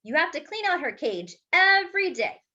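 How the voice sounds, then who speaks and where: A woman voicing a brisk, caring grandmother in a children's storybook. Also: very high voice, big pitch swings, pushed and bright, pitched above the performer's own voice, exaggerated voice. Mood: brisk, urgent. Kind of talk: storybook character voice. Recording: dull lo-fi recording.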